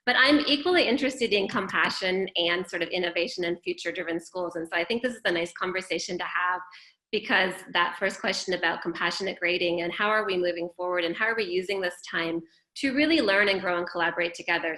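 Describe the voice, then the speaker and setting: Woman, low pitch, bright tone, upbeat, reflective, echoey room. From Phoenix, Arizona. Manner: upbeat, reflective, self-deprecating. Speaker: head of school, panelist. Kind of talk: webinar panel remarks. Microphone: video call.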